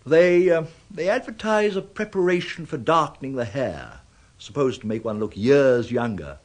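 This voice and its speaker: An actor playing a fussy, polite but prickly older clerk with an English accent in a British radio drama, stiffly formal and hesitant. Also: male voice, mid-range voice, animated, normal speed, natural voice. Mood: prickly, guarded.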